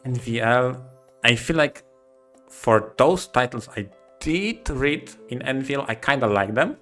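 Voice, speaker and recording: A man in casual podcast chat as a co-host, speaking haltingly and often repeating words as he forms his thoughts. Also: mid-range voice, expressive, fairly bright tone, soft background music.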